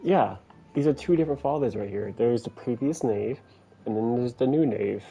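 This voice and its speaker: A man's voice: medium pitch, moderate pace, gravelly, mellow, slightly rough, dull audio. A man streaming a video game with casual, reactive commentary, blunt and incredulous in manner.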